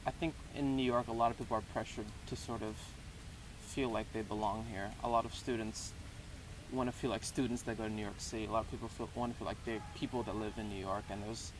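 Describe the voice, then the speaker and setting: A man in his twenties from Texas, answering interview questions in a relaxed, rambling way with lots of hedges. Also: lowish voice, fast. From Houston, Texas. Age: 20s. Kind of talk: casual unscripted interview. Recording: noisy background.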